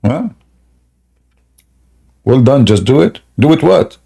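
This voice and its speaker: A man hosting a live debate stream in a scoffing, jokey way, laughing often and clearing a sore throat. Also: medium pitch, very animated, slow, mellow. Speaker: livestream debate host. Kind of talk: mocking live debate chat.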